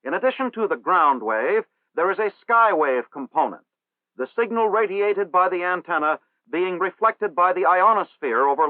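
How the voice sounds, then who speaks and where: A man reads a scripted training film narration in a formal, measured way. His voice sits fairly high, and the sound is dry. It comes through a thin, tinny 1960s film soundtrack. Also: dry recording.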